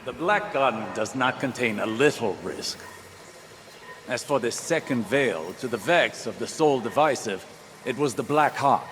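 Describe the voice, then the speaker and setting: An actor playing a wise, stern old warlock scholar in a video game, speaking in a measured, formal, grave way. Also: male voice, medium pitch, animated, fairly bright tone. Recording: echoey game audio with faint noise.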